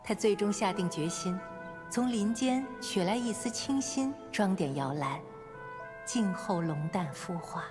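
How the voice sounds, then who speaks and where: An actress narrating a fairy tale as a gentle storybook narrator in a video game. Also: female voice, medium pitch, lively intonation, measured pace, over music, natural voice. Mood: storytelling.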